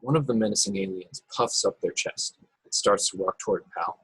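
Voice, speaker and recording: A man's voice: low voice, normal speed, a bit bright, slightly rough, dry recording, low-quality recording. A man narrating screenplay stage directions in a table read over a video call.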